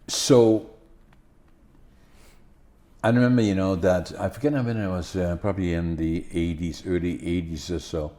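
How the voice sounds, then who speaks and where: An older man with a Dutch accent whose English is his second language, speaking in a low, mellow voice. He pauses often and restarts his phrases as he talks, in an unhurried podcast conversation. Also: steady tone. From Netherlands. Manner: halting, many restarts and fillers. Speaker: podcast host. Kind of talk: casual conversation.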